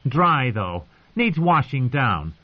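A man's voice: medium pitch, very animated, slow pace, lo-fi sound. A man voicing a cruel, whiny teenage boy king who talks in a petulant, commanding way. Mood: taunting. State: choking and coughing.

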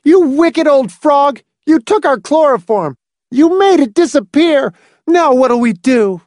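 A man's voice: big pitch swings, slow, no room sound, studio quality, heavily acted. A man reading a story, voicing a frantic young man who shrieks in despair. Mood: hysterical. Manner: shrill, frantic.

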